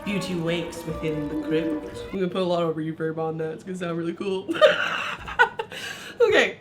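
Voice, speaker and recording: A young woman with a hoarse, sick voice, chatting casually through a makeup review, laughing often and going off on tangents. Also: deep voice. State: hoarse from illness. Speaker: beauty vlogger.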